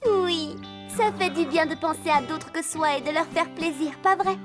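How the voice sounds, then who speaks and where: A woman playing a fashion-loving teenage girl, proud but affectionate, in a French cartoon dub, expressive and girlish. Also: very high voice, moderate pace. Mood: touched, sincere. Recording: music behind the voice.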